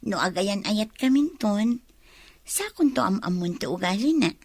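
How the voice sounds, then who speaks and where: A woman's voice: mid-range voice, expressive, unhurried, husky, dry recording. A woman playing a shy, sweet young provincial woman in an Ilocano radio drama, with an Ilocano accent. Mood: smitten.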